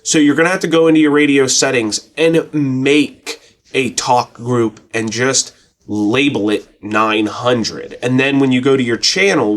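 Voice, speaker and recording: A man giving a casual tutorial in a plainspoken, folksy, colloquial way as a presenter. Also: mid-range voice. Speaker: presenter of a radio tutorial channel. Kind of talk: casual explanatory tutorial talk.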